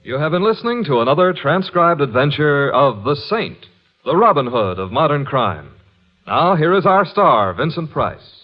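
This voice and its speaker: A man announcing credits and program notes in a crisp, polished 1950s radio style. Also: a little high, very animated, normal speed. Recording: dull lo-fi 1950s radio broadcast with music.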